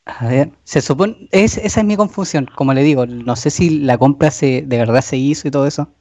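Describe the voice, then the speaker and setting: A man's voice: medium pitch, a little fast, dark tone, no echo, lo-fi sound. A young Chilean man making a prank call, stalling and hesitating with fillers as he pretends to be a customer. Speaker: prank caller.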